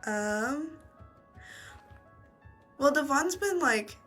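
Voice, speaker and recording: A woman playing a tabletop game, talking casually in halting, hesitant phrases. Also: high voice, expressive, fairly bright tone, faint music behind. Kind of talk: casual improvised game talk.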